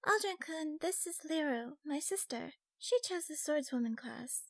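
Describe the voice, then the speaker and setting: Woman playing a perky high-voiced young catgirl. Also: lively intonation, unhurried, dry recording. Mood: cheerful. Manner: playful, teasing. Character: perky, teasing young catgirl mage, a sister. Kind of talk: audiobook character acting.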